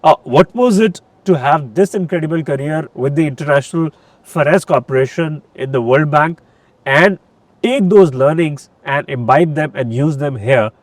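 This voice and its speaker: A man interviewing someone, building up to his questions in a wordy, halting way. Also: fairly high pitch, dry recording.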